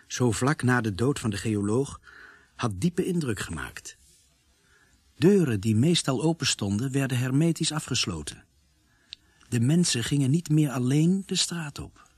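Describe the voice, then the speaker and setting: A man's voice: medium pitch, husky, no echo. A man narrating a radio drama as a grave storyteller, in scripted dramatic narration. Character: grave male storyteller of a village murder mystery.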